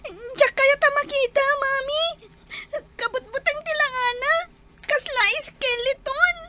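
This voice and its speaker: A woman's voice: extremely high pitch, slow pace, heavy background noise. An Ilocano woman playing a frightened, tearful young child in an audio drama. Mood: uneasy.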